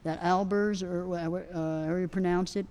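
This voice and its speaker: A soft-spoken woman gives advice at a live panel, her voice pitched a little low and mellow. She rambles, circling back with fillers and restarts. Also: steady tone, brisk, clean tone. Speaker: equine nutrition expert answering questions. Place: outdoor event festival.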